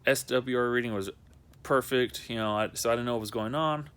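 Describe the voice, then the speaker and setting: A man's voice: mid-range voice, brisk, fairly bright tone, clean tone. A young man talking in a casual, unscripted how-to vlog, rambling and repeating himself in a conversational way. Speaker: amateur video maker showing a car project.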